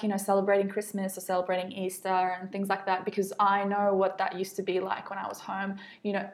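Young syrian aussie woman, medium pitch, casual storytelling, says like and you know a lot. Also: darkish tone. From Aleppo, Syria. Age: early 20s. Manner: informal, reflective, frequent "you know" and "like". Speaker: interview guest.

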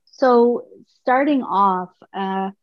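A woman in her thirties from Canada, speaking as a podcast guest in a thoughtful, halting way with frequent restarts. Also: medium pitch, no room sound. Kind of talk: personal conversation. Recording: slightly muffled dry sound.

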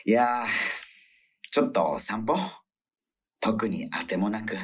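A man's voice: lowish voice, slow pace, breathy edge. A man playing a self-pitying, evasive male radio host with a theatrical manner in a comic radio drama. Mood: airy, evasive. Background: background music.

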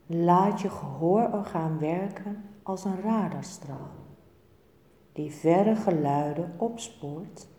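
Woman, meditation teacher, dark relaxed tone, very slow measured delivery, soothing, guided relaxation, echoey reverberant room. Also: fairly low pitch. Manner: calm, soothing, measured with short phrases and pauses. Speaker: yoga nidra meditation teacher.

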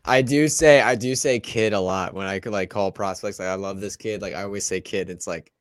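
A male co-host you'd hear on a fantasy football livestream, arguing picks in a fairly deep voice, close and dry. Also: a little fast, no echo. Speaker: fantasy football analyst on a panel. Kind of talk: casual sports debate.

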